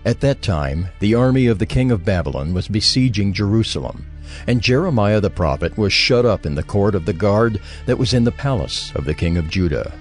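A man narrating scripture from a script in a measured, formal manner. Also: lowish voice, animated. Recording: music behind the voice.

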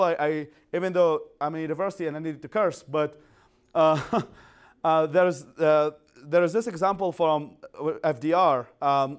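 A man in his thirties from Iraq, an English speaker, giving an animated university lecture with frequent restarts, recorded in a classroom from a camera at some distance. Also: on the high side. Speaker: guest speaker.

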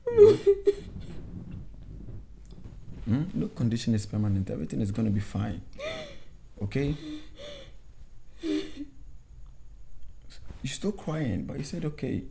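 Gentle coaxing man, screen drama, lively intonation, slight noise. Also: medium pitch, brisk. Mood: consoling. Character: gentle, coaxing man consoling a loved one.

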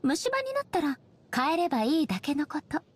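A woman voicing a dignified, ageless shogun goddess in a game, speaking softly in formal, measured, polite phrases. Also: high voice, very animated. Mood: prim, firm. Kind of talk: scripted game character voice lines.

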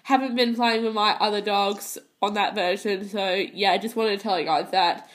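A teenage girl talks through her game with a stuffy nose from a head cold, tired and hesitant, filling gaps with ums and restarting sentences. Her pitch sits in the middle for a girl, and she sounds like she is filming her console screen with a phone. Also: fairly even intonation, moderate pace. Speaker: gaming channel host. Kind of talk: casual gameplay commentary. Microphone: phone filming a handheld console screen.

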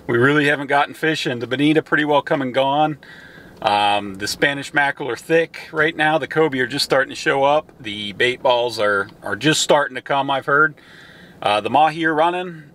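A man's voice: medium pitch, moderate pace, a bit bright. A man in his thirties, a fishing vlogger, chatting casually to the camera from his truck with an easygoing manner and plenty of "uh" fillers. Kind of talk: casual vlog narration to camera. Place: inside a pickup truck, on the road and by the water. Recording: engine and road noise behind.

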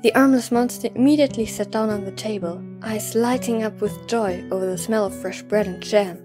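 A woman's voice: medium pitch, a little fast, music in the background. A woman reading scripted story narration as the narrator of an audio drama.

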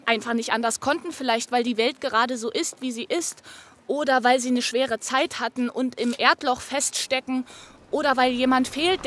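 A woman's voice: on the high side, bright, forceful tone. An actress playing a wry, tender-hearted, playful woman talking casually in an audio drama. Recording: noisy ambient location sound.

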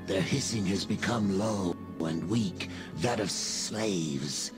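An actor playing a grave, primal lizard-man of the marsh in a video game, speaking solemnly in halting broken English with breathy pauses. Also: low voice. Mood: grave, brooding. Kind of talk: video game character dialogue. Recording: game dialogue over music. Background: music.

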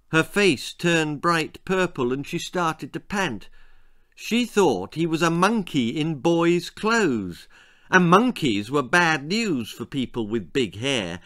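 Bright-voiced older British storyteller, unhurried. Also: male voice, mid-range voice. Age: 60s. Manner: expressive, theatrical, measured. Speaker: author reading own story. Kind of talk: dramatic children's storybook narration. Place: small book-lined room indoors.

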